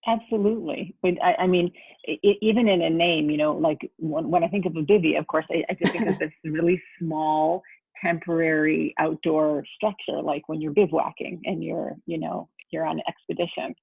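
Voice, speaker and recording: A woman's voice: low-pitched, steady tone, normal speed, gravelly, breathy edge, no echo. A woman hosting a video call interview as an arts center director, curious and stumbling a little over her words. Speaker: host, artistic director. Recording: tinny phone-like audio.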